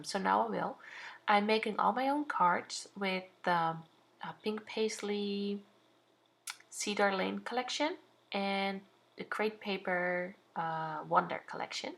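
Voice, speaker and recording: Young Dutch-accented woman, hesitant crafting voice-over, mid-pitched with a touch of vocal fry. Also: a bit bright. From Netherlands. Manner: hesitant, many fillers and restarts. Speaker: scrapbooking channel host.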